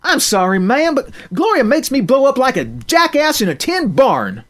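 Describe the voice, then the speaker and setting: A man's voice: extremely high pitch, very animated, moderate pace, a bit bright, voice pushed up, full character voice. A man voicing a tough fourteen-year-old country girl who talks in a rural drawl with folksy sayings. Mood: calmer.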